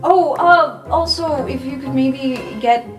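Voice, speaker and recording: A woman with a fairly high voice plays a tabletop game in a hesitant, informal manner. Music plays clearly behind the speech. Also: takes it slowly, highs cut off. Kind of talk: casual improvised roleplay. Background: background music.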